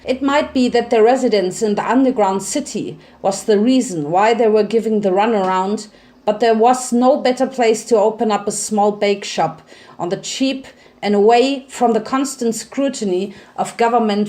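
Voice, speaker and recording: A woman narrates a story in a dramatic reading style. Her voice sits a little high, and she reads scripted prose as a storyteller. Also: mellow. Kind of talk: dramatic fan fiction narration.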